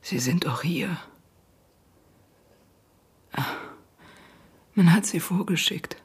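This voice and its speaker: A grieving, stubborn mother in a literary radio drama. Her voice is deep for a woman and extremely breathy, often sinking toward a whisper. She speaks slowly and haltingly, refusing to believe what she is told. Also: exaggerated voice. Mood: numb, bitter. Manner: halting, short numb sentences. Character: shattered mother told of her daughter's death, stubborn, disbelieving.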